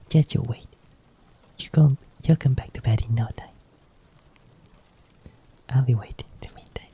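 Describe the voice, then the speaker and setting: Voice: mid-range voice, measured pace, long pauses, breathy edge. A streamer whispering an ASMR roleplay as a clingy, sleepy partner who coaxes someone back to bed. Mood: teasing. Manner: soft teasing whisper.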